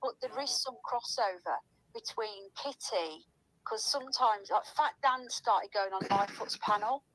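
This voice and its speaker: A woman's voice: mid-range voice, unhurried, fairly bright tone, slight background noise, poor audio. A woman chatting casually and gossipily in a rambling, conversational way.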